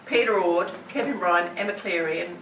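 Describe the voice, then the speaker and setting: A woman's voice: on the low side, moderate pace, creaky, a bit bright, lo-fi sound, slight background noise. Woman in her 50s, company head toasting a colleague, heard from far off in an echoey room. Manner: chatty, apologetic, laughing asides. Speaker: company head giving a farewell speech. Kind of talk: informal toast speech at a party. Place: indoor function venue at a party. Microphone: far-off camera, no microphone.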